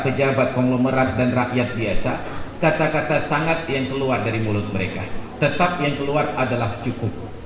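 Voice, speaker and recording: A man in his forties giving a jokey, animated sermon at a gathering, building to loud emphatic peaks. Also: medium pitch. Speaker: preacher. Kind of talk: humorous sermon at a religious gathering. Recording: echoey hall with background noise.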